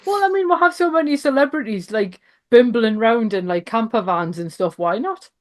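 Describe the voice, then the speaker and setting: A woman's voice: a little high, lively intonation, normal speed. A woman hosting a podcast, introducing her guest and asking casual, chatty questions. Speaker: podcast host.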